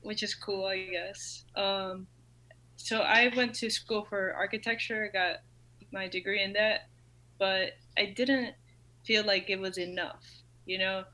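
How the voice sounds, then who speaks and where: A woman's voice: medium pitch, steady tone, measured pace, sharp tone. A woman of thirty telling a story casually and enthusiastically as a podcast guest, in very informal speech. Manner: informal, enthusiastic, quotes own past thoughts. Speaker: podcast guest, business owner.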